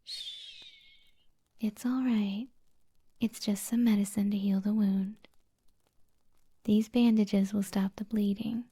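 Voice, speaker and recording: A woman playing a kind, flirty witch healer in a soft-spoken, half-whispered ASMR roleplay, slow, soothing and gently teasing. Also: medium pitch, fairly even intonation, husky. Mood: soothing, caring.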